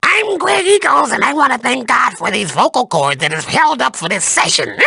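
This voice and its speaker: An actor voicing an exuberant cartoon game character with a strained, raspy voice and theatrical delivery. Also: male voice, very high voice, huge pitch swings, measured pace, pushed and bright, no echo, studio quality, heavily acted. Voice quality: very gravelly, strained rasp.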